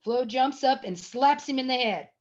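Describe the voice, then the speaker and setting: A woman's voice: sits high, normal speed, darkish tone. A woman narrating a screenplay at a table read, reading scene headings and stage action as scripted narration. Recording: dull, lo-fi sound.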